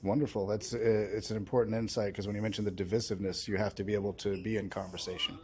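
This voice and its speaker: A man's voice: lowish voice, little pitch movement, fast, dark tone. A man working as an interviewer, asking conversational questions in an agreeable, affirming, slightly tentative way.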